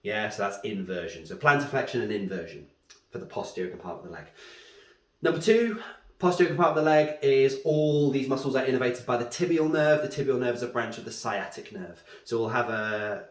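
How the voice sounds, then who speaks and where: A man's voice: mid-range voice, fairly quick. A man with a head cold, teaching anatomy at a board and often checking in with a questioning "yeah?". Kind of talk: whiteboard anatomy lesson. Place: room with a drawing board. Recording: echoey room.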